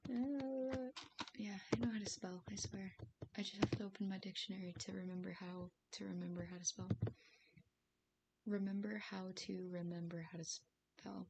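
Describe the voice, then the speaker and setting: A woman with a raspy voice streaming as she writes, thinking aloud hesitantly with many fillers and soft laughs. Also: medium pitch, fairly even intonation, moderate pace. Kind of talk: casual live-stream musing while writing. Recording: faint hiss behind the voice.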